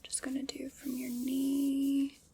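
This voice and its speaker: A woman whispering breathily in an ASMR roleplay, soft, unhurried, formal and reassuring. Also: high-pitched, monotone.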